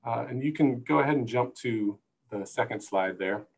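A man's voice: medium pitch, normal speed, gravelly. A middle-aged man with an American accent giving an informal slide presentation as a guest expert over a video call. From United States. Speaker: zoo conservation manager, guest speaker. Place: room indoors. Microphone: earphone headset on video call.

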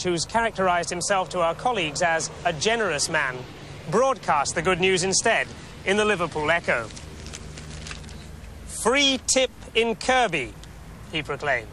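A man in his thirties with an English accent giving a scripted television report in a wry, measured way with deliberate pauses. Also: a little high, pushed and bright. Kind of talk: scripted investigative report, to camera and voice-over. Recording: 1970s TV film sound, background noise.

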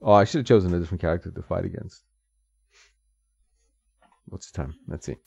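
A man's voice: low voice, fairly quick, vocal fry, darkish tone, dry recording. A man casually commenting while playing an old fighting game at home, chatting and laughing at the screen. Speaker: gaming channel host. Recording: slightly dull, dry sound with game music behind.

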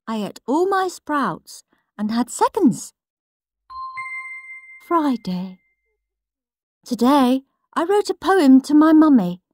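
A woman's voice: high voice, sing-song, slow, pauses often, a little mellow, dry recording, clean studio sound, full character voice. A woman voicing a smug, prim goody-goody little boy reading his diary in an earnest sing-song for a storybook.

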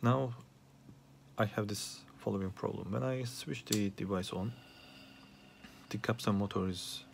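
A man giving an unscripted troubleshooting demonstration as a hobbyist repairer, speaking haltingly with frequent pauses and hesitations. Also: on the low side, creaky, a little hiss or hum. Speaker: hobbyist repairer asking viewers for help. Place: small indoor workspace at a repair bench.

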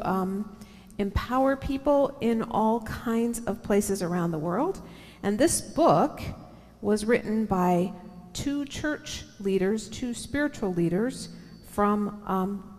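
A middle-aged woman reads aloud to children as a church storyteller. Her pace is slow and her pitch sits in an ordinary female range, with expressive lingering on words and conversational asides. She speaks into a headset mic, with long hall reverb around the voice. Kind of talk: reading a picture book aloud to children. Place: large church sanctuary, seated indoors. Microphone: headset microphone.

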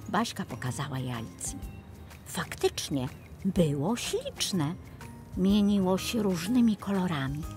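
Expressive, animated woman storyteller. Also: fairly low pitch, measured pace. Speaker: narrator. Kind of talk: expressive scripted fairy-tale narration for children. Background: music.

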